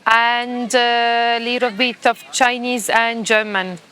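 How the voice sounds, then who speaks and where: A woman's voice: fairly high pitch, flat delivery, slow, bright, forceful tone. A woman in her thirties with an Italian accent, answering interview questions on a beach, hesitant and often laughing. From Italy. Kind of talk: casual street interview. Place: beach, outdoors.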